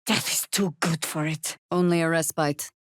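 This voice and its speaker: A woman's voice: low-pitched, fairly even intonation, moderate pace, a bit bright, no room sound. A woman playing an older, seasoned druid warrior in a fantasy video game, wry, dry and commanding in manner. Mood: grave, knowing.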